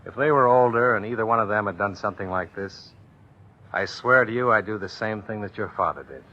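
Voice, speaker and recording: An actor playing an earnest, firm, fatherly police lieutenant in an old-time radio drama, measured and deliberate. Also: on the low side, sharp tone. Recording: muffled old radio recording, faint noise.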